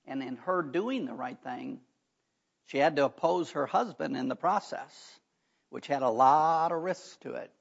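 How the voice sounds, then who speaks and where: A hoarse man preaches a sermon in a church, his voice gravelly and rough. He talks in a folksy way and breaks off for chatty asides. The recording is dull and lo-fi. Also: a little high, unhurried. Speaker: pastor. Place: church sanctuary.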